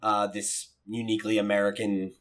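A man's voice: fairly low pitch, level tone, moderate pace, fairly bright tone. A man hosting a podcast, reasoning aloud in casual, informal discussion. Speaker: podcast host.

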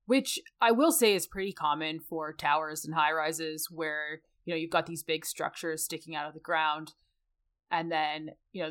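Female podcast co-host, Canadian accent, lower-pitched voice, casual conversational explainer. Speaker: mechanical engineer.

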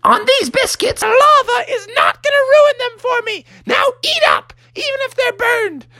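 Man doing a high-pitched, bossy cartoonish mother bear. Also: sing-song, unhurried, vocal fry, pushed and bright, no room sound, clean studio sound, exaggerated voice. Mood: exasperated, insistent. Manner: scolding, insistent falsetto. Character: bossy, fussy mother bear obsessed with breakfast.

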